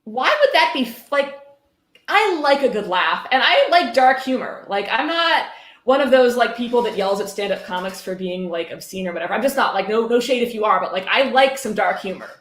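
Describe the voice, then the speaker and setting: A woman's voice: on the high side, sing-song, brisk, a bit bright. A woman hosting a live-streamed reading, commenting casually in a wry, sardonic way with some swearing. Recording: roomy, echoey sound.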